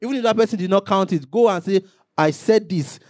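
A man's voice: high voice, fairly quick, a little mellow, no echo, clean studio sound. A man, a pastor preaching a sermon, rising into emphatic bursts and acting out little quotes.

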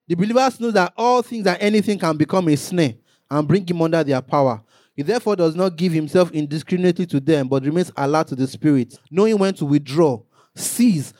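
A man's voice: a little high, a little mellow, no room sound. A man, a pastor preaching a sermon, rising into emphatic bursts and acting out little quotes.

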